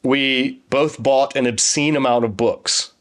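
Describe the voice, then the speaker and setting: A man's voice: mid-range voice, fairly even intonation, unhurried, a bit bright. A man hosting an interview, friendly and enthusiastic, joking easily with his guest. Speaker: YouTube channel host. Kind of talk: casual interview conversation.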